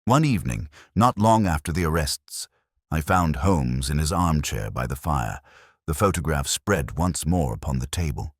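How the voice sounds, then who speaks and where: A man reading a first-person detective story as narrator, in a formal, measured storytelling manner. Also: very deep voice, expressive, husky, no room sound, clean studio sound. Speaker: audiobook narrator.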